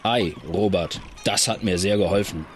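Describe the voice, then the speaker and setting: A man acts out a style-conscious pirate in an improvised audio play. His voice is very deep, his delivery fussy and florid, with slight background noise. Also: moderate pace, natural voice. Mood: fussy, pleased.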